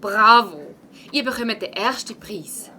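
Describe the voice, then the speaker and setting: A woman playing a brisk, emphatic schoolteacher in a children's audio play, with a Swiss accent. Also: a little high, lively intonation, background noise. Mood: announcing, pleased. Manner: emphatic, announcing. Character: brisk woman schoolteacher running a class clean-up. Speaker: teacher.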